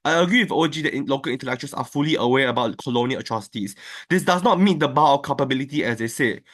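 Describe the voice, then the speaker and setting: A man delivering a competitive debate speech over an online call, arguing point by point with quick rebuttals. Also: medium pitch, expressive, a bit bright. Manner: fast, structured argument with quick rebuttals. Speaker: debate speaker. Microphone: online call, own mic.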